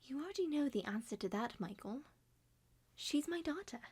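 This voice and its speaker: A woman's voice: sits high, lively intonation, moderate pace, a little mellow, husky, pitched above the performer's own voice. Woman playing a cold, calculating villainous father. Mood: cold, defensive.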